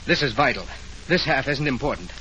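Intense, sharp-voiced anxious businessman. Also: mid-range voice, expressive. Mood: urgent, grave. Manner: formal, intense, deliberate. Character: earnest, anxious male businessman seeking help. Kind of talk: 1940s radio detective drama acting. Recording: noisy old radio transcription.

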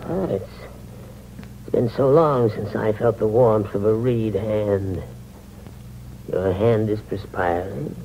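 An actor playing a gentle, hesitant old uncle in a 1940s radio mystery, speaking in a halting, formal way. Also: male voice, on the low side. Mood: hesitant, probing. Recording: muffled old radio recording, background hiss.

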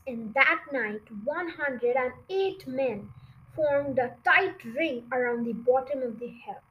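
A woman narrates a children's storybook in a very high voice. She reads slowly and deliberately, giving the sentences expression as an amateur reader would. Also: fairly bright tone, low-quality recording.

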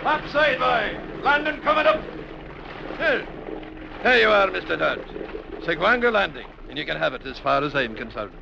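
An actor playing a gruff, cynical river-boat captain in a blunt, sardonic radio-drama style. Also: male voice, high-pitched, sing-song, normal speed, heavily acted. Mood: barking orders. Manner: blunt, sardonic, shouts orders. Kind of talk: 1940s-50s radio drama acting. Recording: dull, noisy old radio recording.

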